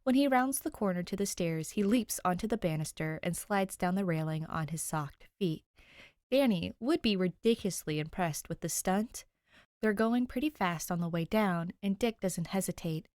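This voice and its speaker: A woman's voice: fairly low pitch, normal speed, darkish tone, no room sound, studio quality. A woman giving a scripted narration of a story as an audiobook reader. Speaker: podfic narrator.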